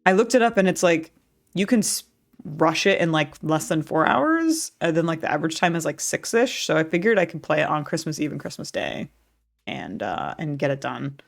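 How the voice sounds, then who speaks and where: A woman's voice: low-pitched, expressive, moderate pace, creaky. A young woman chatting casually as she streams a game and reads its dialogue aloud, laughing often and restarting her sentences.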